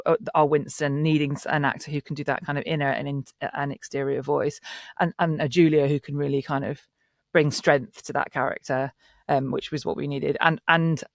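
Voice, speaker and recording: A man with a fairly high voice and a noticeable creak in it, recorded close and dry. He talks in a casual panel style, enthusiastic and rambling as he explains his work as a producer. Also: fairly bright tone, dry recording. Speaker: audio drama producer discussing casting.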